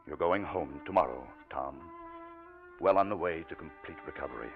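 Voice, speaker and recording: A calm physician from a 1940s radio drama. His very low voice reassures steadily, heard through a muffled old recording over faint music. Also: normal speed. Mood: calm, reassuring. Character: calm, reassuring male doctor at a treatment facility.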